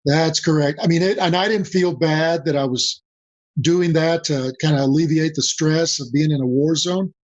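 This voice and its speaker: An older man from Mexico whose first language is Spanish, a guest on a podcast interview, talking in a measured way and repeating key phrases for emphasis. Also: fairly high pitch, steady tone, no room sound. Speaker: guest, senior advisor. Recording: dull dry lo-fi audio.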